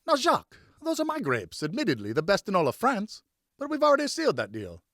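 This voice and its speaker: A man's voice: high voice, wildly swooping pitch, fairly quick, vocal fry, fairly bright tone, dry recording, clean studio sound, voice pushed up, heavily acted. A man voicing a jovial, wily Frenchman who makes cognac, coaxing and exclamatory, in an audiobook. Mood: playful, insistent. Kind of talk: audiobook character dialogue.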